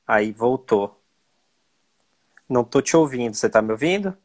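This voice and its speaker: A man's voice: mid-range voice, animated, moderate pace, a little mellow. A man hosting a live stream on a video call, talking informally and straight to the viewers.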